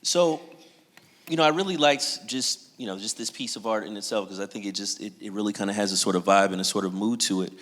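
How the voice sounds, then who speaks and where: Reflective low-voiced man in a hall. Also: brisk, a bit bright. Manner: reflective, hesitant with restarts. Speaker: panelist. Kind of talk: panel discussion.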